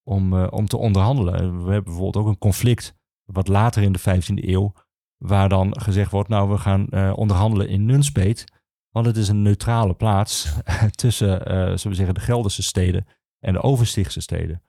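A man speaking as a history expert guest in a podcast conversation, explaining things with hesitant, pausing phrasing. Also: low voice, fairly even intonation, vocal fry, mellow, no room sound, clean studio sound.

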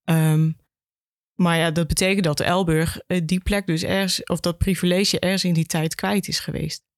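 Female educator for podcast discussion: fairly flat intonation, plain-spoken, close and dry. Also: fairly low pitch, normal speed, dry recording. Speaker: history educator guest. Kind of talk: explanatory podcast conversation.

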